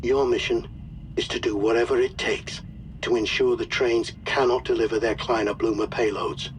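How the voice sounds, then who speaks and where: An actor playing a solemn, authoritative wartime officer reading out a formal mission briefing in a video game, measured and deliberate. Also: male voice, medium pitch, fairly even intonation, a little hiss or hum, natural voice. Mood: urgent, official.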